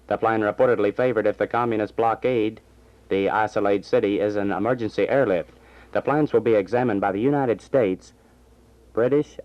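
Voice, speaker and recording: A man reading scripted news and announcements as a 1960s radio newsreader, formal and measured. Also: fairly low pitch. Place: radio station studio. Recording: dull lo-fi vintage AM radio recording.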